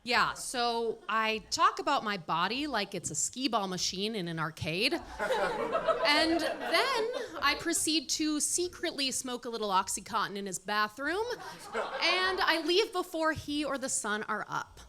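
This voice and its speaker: A woman's voice: on the high side, sing-song, a little slow, a crowd in the background. A woman in her late thirties performing a stand-up set at a comedy club, delivering dry, self-deprecating punchlines. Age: 30s. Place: comedy club stage with live audience.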